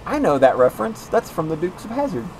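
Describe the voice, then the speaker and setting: A man in his late thirties streaming a racing game with friends, chatting casually and getting loud and excited as he plays. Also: high voice, quick pace, soft background music, rough recording. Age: 30s. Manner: excitable, yells and laughs at the game. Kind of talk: casual gaming chat.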